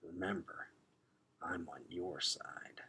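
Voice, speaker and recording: A man voicing a grim, commanding rat warrior in a storybook, giving terse orders in a hushed whisper. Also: sits low, wildly swooping pitch, slow pace, gravelly, a little hiss or hum, voice pushed down, full character voice. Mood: quiet, menacing reassurance.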